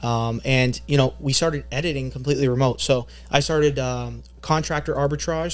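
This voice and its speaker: Laid-back young man telling stories. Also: fairly high pitch. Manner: laid-back storytelling with lots of fillers and slang. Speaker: podcast guest, marketing agency owner. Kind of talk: casual podcast chat.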